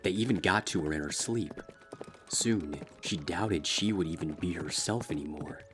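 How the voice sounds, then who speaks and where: Very deep-voiced man reading a dark story. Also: normal speed, over music. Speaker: fanfiction reader.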